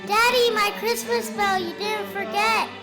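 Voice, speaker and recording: Little girl, very high voice, earnest, careful, radio drama, music behind. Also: fairly even intonation, a little slow. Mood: sweet, delighted. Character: sweet, earnest little daughter. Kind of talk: vintage radio play acting.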